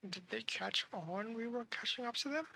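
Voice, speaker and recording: A woman's voice: a little high, normal speed, vocal fry, pushed and bright, slight background noise. A woman game streamer giving casual commentary, musing and speculating aloud as she plays.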